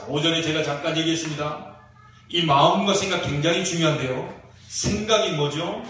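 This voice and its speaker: A male pastor with a regional Korean accent preaches in a notably high voice. His emphatic exhortations and questions ring through a very echoey church, picked up from a distant mic with faint noise. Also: a bit bright. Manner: emphatic, exhorting, with rhetorical questions and drawn-out key phrases. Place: church sanctuary during a service.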